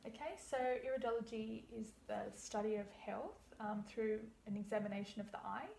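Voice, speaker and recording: A woman's voice: a little high, fairly even intonation, normal speed, creaky, a little mellow. A young woman explaining an iridology consultation step by step in a reassuring way. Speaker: iridology practitioner. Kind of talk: practice consultation. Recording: echoey room, faint background hum.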